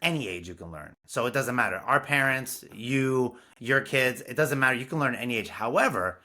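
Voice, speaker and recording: A man talks with earnest, animated energy as a podcast guest. His voice has a bright, forward edge as he explains his ideas. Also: mid-range voice, normal speed. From Brooklyn, New York. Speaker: music curriculum founder, guest. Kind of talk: casual interview talk.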